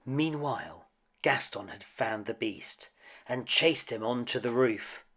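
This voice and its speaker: A man's voice: medium pitch, animated, slow pace, fairly bright tone, slightly rough. A man reading a children's picture book aloud as a dramatic storyteller. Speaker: storybook reader.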